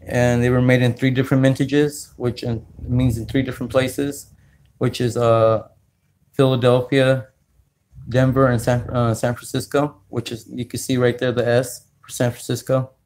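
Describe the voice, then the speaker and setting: A man from California hosting a casual livestream, talking slowly with many fillers as he greets viewers. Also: mid-range voice, little pitch movement, a little mellow. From California, United States. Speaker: livestream host. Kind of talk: casual livestream chat while sorting coins.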